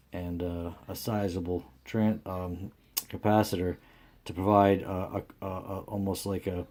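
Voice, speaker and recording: A man talking casually and without a script through a repair as host, haltingly thinking aloud. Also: sits low, warm tone. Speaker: host of a radio repair video. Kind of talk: casual unscripted repair commentary.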